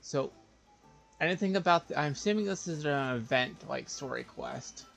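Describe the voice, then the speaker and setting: A man's voice: a little high, expressive, normal speed, vocal fry, fairly bright tone, soft background music. A man doing casual live game commentary, thinking aloud in a hesitant, wry way. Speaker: game streamer.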